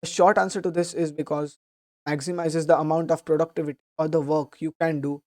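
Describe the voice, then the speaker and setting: A young man talks through a book summary in a steady, earnest way, now and then restarting a phrase. His voice is mellow and soft-edged, with a slight hoarseness from a sore throat. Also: a little high, fairly quick. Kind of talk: explanatory book summary talk.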